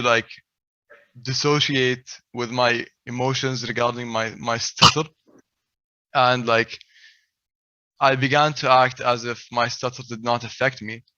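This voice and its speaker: A young man with a heavy stutter gives a personal talk and repeats the first sounds of many words. His voice is bright and forceful. The recording is dry and a little dull. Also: mid-range voice, no echo. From Saudi Arabia. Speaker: speaker at a stuttering event.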